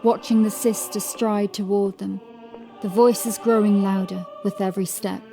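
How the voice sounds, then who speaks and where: A woman's voice: mid-range voice, little pitch movement, normal speed, vocal fry, mellow, faint music behind. A woman reading scripted horror fiction as an audiobook narrator.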